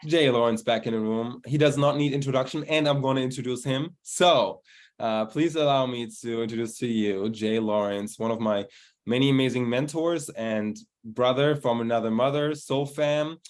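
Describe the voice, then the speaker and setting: An upbeat man hosts a group coaching session over a video call. He talks casually and hypes up the people he introduces, in a voice of ordinary pitch. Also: moderate pace. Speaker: host of online group call.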